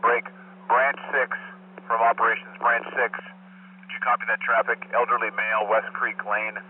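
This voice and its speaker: A man's voice: mid-range voice, level tone, moderate pace, husky. A man speaking as a fire operations officer over two-way radio, giving clipped, procedural orders. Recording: far-off, tinny phone-like fire radio, faint noise.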